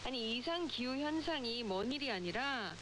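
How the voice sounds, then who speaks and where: A woman's voice: fairly high pitch, normal speed. Lady radio cohost, reacts a lot, very up and down voice, hissy shortwave sound. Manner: reactive, expressive, agreeing and asking questions. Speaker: radio co-host. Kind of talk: conversational radio banter. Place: radio broadcast heard over shortwave with static hiss.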